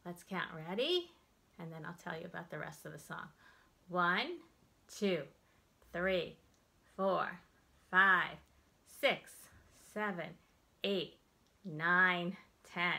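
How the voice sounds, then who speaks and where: A middle-aged American woman hosting a children's storytime, reading aloud and explaining in an expressive, deliberate way for young listeners. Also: fairly low pitch, gravelly. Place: indoors, plain-walled room.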